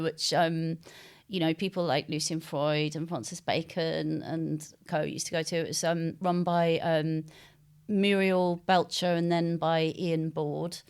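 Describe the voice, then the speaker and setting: An English woman with a slightly low-pitched voice talks as an interviewed author. Her delivery is conversational and discursive, feeling its way through loosely linked phrases with some hesitation. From East London, England.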